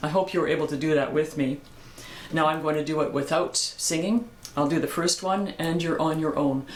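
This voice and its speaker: An older woman with a low voice, teaching a singing lesson in a calm, encouraging way. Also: fairly quick, roomy sound. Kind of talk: vocal lesson with sung exercises.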